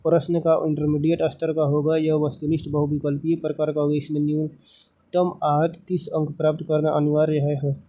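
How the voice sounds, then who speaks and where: Guy reading thru a notice and explaining it, kinda high voice, soft dull-edged mellow tone, halting and repetitive. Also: monotone. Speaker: presenter explaining a recruitment notice. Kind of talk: read-aloud explainer with informal paraphrasing.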